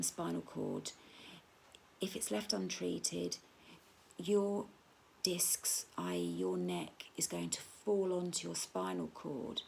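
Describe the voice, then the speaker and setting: A middle-aged woman, in pain and tired, speaking slowly about her own illness in a casual vlog at home, halting with many ums and restarts. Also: on the low side, vocal fry, a little mellow, a little hiss or hum. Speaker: patient sharing her experience.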